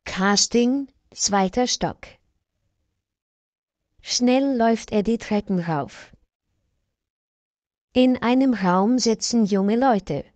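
Female narrator, slow and deliberate, dry close sound, for learner stories. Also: a little high, darkish tone, no room sound. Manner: slow, clear, evenly paced reading. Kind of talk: slow scripted story reading for learners.

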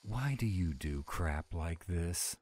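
A man acting a very deep-voiced grumpy were-dragon. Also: lively intonation, takes it slowly, darkish tone, heavily acted. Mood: grumpy, reluctant. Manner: grumbling, reluctant, defensive. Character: grumpy, self-doubting male were-dragon cook, gruff but tender. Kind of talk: roleplay audio drama.

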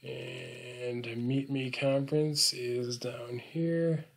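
A man presenting an unscripted software tutorial, explaining things informally step by step. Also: medium pitch, little pitch movement, extremely slow, vocal fry.

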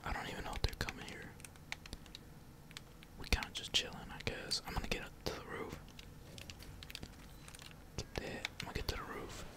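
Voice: normal speed, long pauses. A gamer whispering slangy, laid-back ASMR commentary while playing, with casual swearing. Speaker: gaming streamer.

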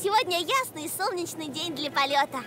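A woman's voice: very high-pitched, lively intonation, moderate pace, over music. A woman voicing a playful, imaginative little boy in a cartoon dub, eager and theatrical. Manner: eager, play-acting. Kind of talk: animated series dub.

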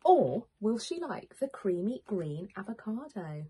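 A woman, a teacher reading a picture book to young children in an expressive, questioning manner. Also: medium pitch, a little slow. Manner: expressive, questioning, clearly addressing small children. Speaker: primary school teacher. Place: small home room with bookshelves, indoors.

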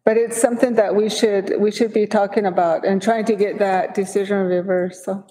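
Woman with a mellow voice in a reverberant hall. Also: mid-range voice, fairly even intonation, normal speed. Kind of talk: council meeting discussion. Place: large council chamber with flags.

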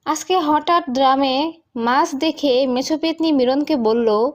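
A woman's voice: high-pitched, slow pace. A woman narrating a scripted cartoon folk tale as the storyteller.

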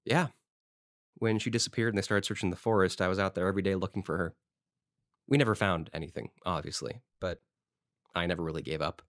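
A man's voice: low-pitched, quick pace, long pauses, fairly bright tone, dry recording, studio quality. An actor playing a friendly, talkative small-town man with a wistful side, in a fiction podcast. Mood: wistful, subdued. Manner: talkative, casual, folksy. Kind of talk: conversational audio drama acting.

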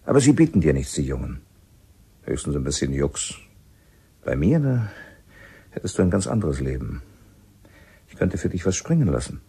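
Very raspy, deep village skirt-chaser. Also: male voice, very animated, normal speed, long pauses, warm tone, exaggerated voice. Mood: coaxing. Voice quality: very husky, rough. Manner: coaxing, blustering. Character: pushy forty-year-old widowed village skirt-chaser. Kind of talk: naturalistic radio drama acting.

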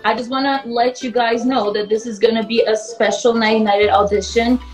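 A woman's voice: on the high side, little pitch movement, moderate pace, faint music behind, rough recording. A young woman leading a mock audition in an upbeat, bossy, mock-formal way over a video call.

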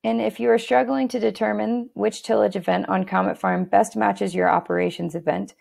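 A woman with a heavily gravelly, fry-laden voice walks through a software tool step by step. Her delivery is steady and instructional, at a middle pitch. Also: a little fast. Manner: steady instructional tone with occasional fillers and restarts. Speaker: software tutorial narrator. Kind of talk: screen-recorded software walkthrough.

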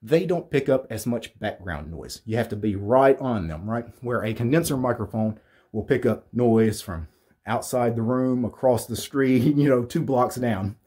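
A man in his thirties with a deep voice, casually walking through his home studio gear, rambling with fillers and restarts. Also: moderate pace, a little mellow, husky. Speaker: tech YouTuber. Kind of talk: casual equipment walkthrough. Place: small home studio with acoustic foam panels.

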